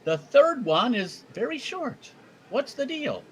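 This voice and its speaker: A man's voice: very high voice, big pitch swings, slow, slightly rough, pitched above the performer's own voice, full character voice. A man voicing a barkeep who asks questions in a bar joke. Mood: curious. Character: adult male barkeep in a joke.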